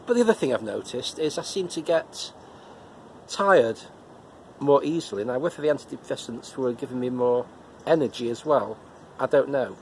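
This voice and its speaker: A man's voice: mid-range voice, lively intonation, slight background noise. A middle-aged man with a head cold, talking casually to camera in a personal vlog, often repeating words as he restarts. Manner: chatty, halting with repeated words. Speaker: vlogger reviewing episodes. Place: living room indoors, and a car park outdoors at night.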